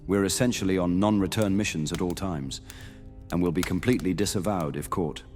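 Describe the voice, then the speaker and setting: A man's voice: deep voice, fairly quick, darkish tone, close to an everyday voice. A man playing a stern veteran monster hunter knight in a fantasy audio roleplay, speaking formally and solemnly. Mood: grave, instructive. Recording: long hall reverb under music.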